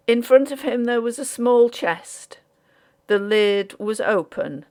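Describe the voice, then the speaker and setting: A woman reading scripted audiobook narration in a measured, deliberate way, as for a Victorian ghost story. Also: medium pitch, creaky.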